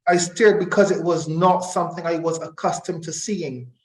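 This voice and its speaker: A middle-aged man with a fairly high voice talks in a very echoey room. He is an interview guest who explains things deliberately, repeating phrases to drive points home. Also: a little mellow. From Barbados. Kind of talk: reflective conversational interview.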